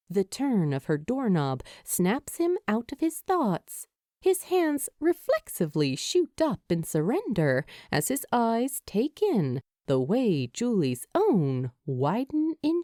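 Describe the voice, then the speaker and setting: A woman reading a scripted story aloud in an expressive, deliberate storytelling style. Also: on the high side, dry recording, clean studio sound. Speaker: fan fiction podfic narrator.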